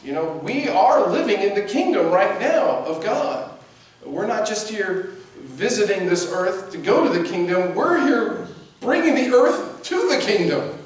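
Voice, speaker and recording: A man in his forties, a pastor preaching a sermon from the pulpit in a conversational, animated way, with lively gestures. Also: high-pitched, measured pace. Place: church sanctuary at a pulpit. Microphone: distant camera mic. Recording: very echoey church from a distance.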